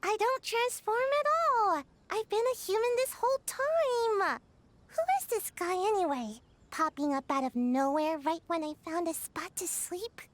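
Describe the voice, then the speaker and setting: A woman's voice: extremely high pitch, lively intonation, measured pace. An actress voicing a sleepy, grumbling young ninja girl in a video game, with a reluctant, hesitant delivery. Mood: annoyed. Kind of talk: English game voice acting.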